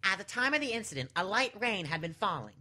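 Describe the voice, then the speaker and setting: A woman's voice: mid-range voice, very animated, quick pace, pushed and bright. A woman playing a smug, condescending prosecutor, theatrical and self-assured, in a dramatic fan dub. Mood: smug, explanatory.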